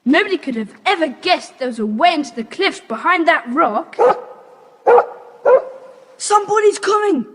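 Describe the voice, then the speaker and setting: A woman's voice: very high-pitched, animated, moderate pace, pauses often. A woman playing a plucky, earnest young local child in a children's audio adventure. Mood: eager, urgent. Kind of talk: children's audio play acting. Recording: long reverb, some noise.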